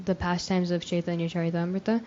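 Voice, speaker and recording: Modest young woman with a lower voice. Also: flat delivery, quick pace. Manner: shy, modest, laughs softly. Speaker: youth retreat participant. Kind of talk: casual self-introduction. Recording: dull lo-fi recording with faint noise.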